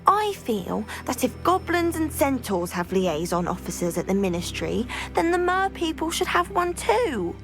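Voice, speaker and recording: A woman's voice: high voice, big pitch swings, unhurried, vocal fry, few pauses, over music, full character voice, poor audio. A young woman playing an earnest, polite teenage witch student in a video game. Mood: passionate, earnest. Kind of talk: video game cutscene acting.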